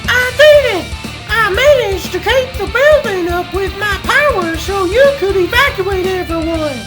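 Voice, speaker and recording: A young cartoon superheroine. Her voice is extremely high, with an earnest, plucky delivery, and loud music plays behind her. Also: lively intonation, a little slow. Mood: excited, earnest. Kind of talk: comic book dub cartoon acting.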